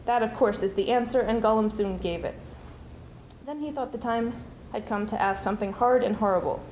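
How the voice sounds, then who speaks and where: Young female student prose reader, higher voice, dramatic storytelling, roomy recording with faint noise. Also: a little fast, darkish tone. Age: teens or young adult. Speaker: student speech performer. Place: school speech showcase before an audience. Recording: roomy, noisy amateur stage recording.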